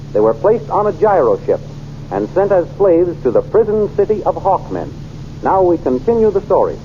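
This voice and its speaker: A man's voice: on the high side, lo-fi sound, a little hiss or hum. A man narrating an old radio serial in a dramatic, sweeping announcer style.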